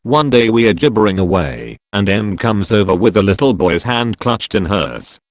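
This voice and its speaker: A man narrating a story read from an online forum in an animated storytelling manner. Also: fairly low pitch, moderate pace, no room sound, studio quality. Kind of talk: reading online stories aloud.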